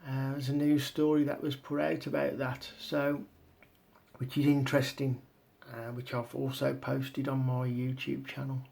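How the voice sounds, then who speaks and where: Slow-paced man explaining, slightly creaky voice. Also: medium pitch, monotone, a little mellow. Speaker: video presenter and researcher. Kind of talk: informal explanatory commentary over on-screen maps and documents.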